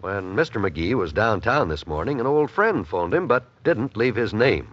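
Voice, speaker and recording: A male radio announcer with a lively, swinging delivery in 1950s scripted style. Music plays behind him on a muffled vintage broadcast. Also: lowish voice, moderate pace.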